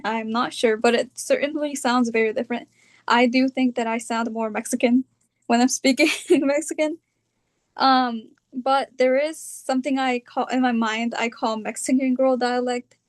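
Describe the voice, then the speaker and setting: A young woman from California with a California accent, talking about her own experience in a reflective, hesitant way, often pausing on fillers. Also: a little high, steady tone. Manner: hesitant, thinking aloud with fillers. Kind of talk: reflective personal talk.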